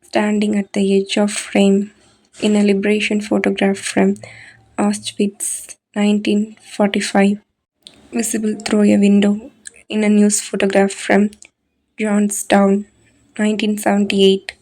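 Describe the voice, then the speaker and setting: Female horror narrator. Mid-pitched, evenly measured, deliberate and dramatic storytelling. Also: completely flat delivery, a little mellow, low-quality recording.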